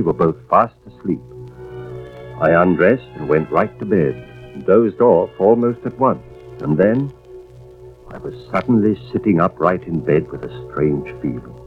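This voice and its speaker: Brooding low-voiced husband, old radio drama. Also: male voice. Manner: hesitant, brooding delivery. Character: troubled insurance investigator husband, brooding and anxious. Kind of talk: 1940s radio drama acting, first-person narration. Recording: dull vintage radio recording with music behind.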